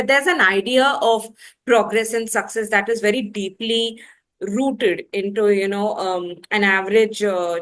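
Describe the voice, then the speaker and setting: A woman with an Indian accent interviewing conversationally, phrasing long questions in a deliberate, wordy way and rephrasing as she goes. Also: medium pitch.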